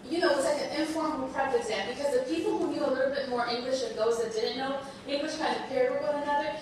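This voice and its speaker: Woman, native Spanish speaker, slight cold, earnest and halting, higher voice, distant mic in a big echoey room. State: under the weather, slight cold. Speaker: panel speaker. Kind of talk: personal talk to an audience. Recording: echoey hall, far from mic, noisy.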